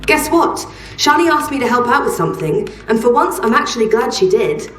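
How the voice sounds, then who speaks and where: Earnest young-hearted game heroine, roomy sound. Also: female voice, on the high side, very animated, a little fast, fairly bright tone, far from the mic, soft background music. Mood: excited. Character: earnest, hopeful woman resistance fighter's daughter in sci-fi game. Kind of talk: video game cutscene acting.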